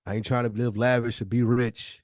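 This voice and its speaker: A man's voice: mid-range voice, little pitch movement, brisk, darkish tone, no room sound, studio quality. A man co-hosting a podcast, giving conversational advice in informal, emphatic speech with frequent repetition. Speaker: podcast co-host.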